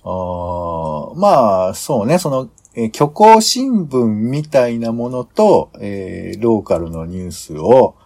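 A man with a fairly low, husky voice chats casually as a podcast co-host. His intonation swings very widely, and he circles toward his point with hesitant phrasing. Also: very animated, slow pace, hardly any pauses, darkish tone. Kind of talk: casual planning chat.

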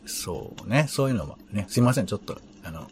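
A man's voice: on the low side, sing-song, fast, a little hiss or hum. A man co-hosting a radio show in casual, reflective chat, a little self-deprecating.

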